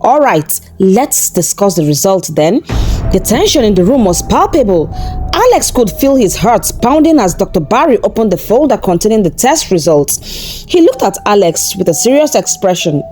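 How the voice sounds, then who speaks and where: A woman narrating a scripted folktale in an expressive, dramatic storytelling manner. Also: mid-range voice, moderate pace, soft background music.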